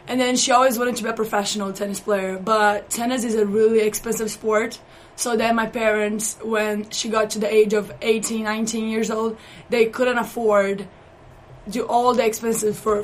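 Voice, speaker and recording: A woman's voice: on the high side, a little hiss or hum, poor audio. A young woman with a Brazilian accent, a college volleyball player answering interview questions earnestly in slightly non-native phrasing. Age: young adult.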